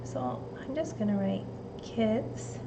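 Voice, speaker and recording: A woman from the United States reading a storybook aloud and giving children simple, deliberate instructions like a teacher. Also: fairly high pitch, noisy background. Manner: deliberate, simple phrasing addressed to young children.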